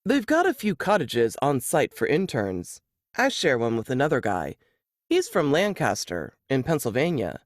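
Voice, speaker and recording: A man's voice: mid-range voice, huge pitch swings, moderate pace, dry recording, studio quality. Woman reading as a shy, hesitant young man. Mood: sheepish. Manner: hesitant, embarrassed, apologetic. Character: shy, nervous, polite young male grad student. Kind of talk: audiobook character dialogue.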